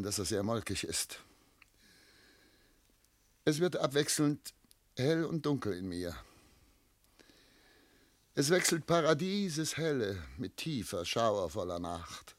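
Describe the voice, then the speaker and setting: A man's voice: lowish voice, dry recording. An actor playing a tormented, self-doubting man of seventy in love, speaking solemn literary German lines in a classic radio play. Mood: rapturous, agitated. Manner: solemn, literary, declamatory phrasing.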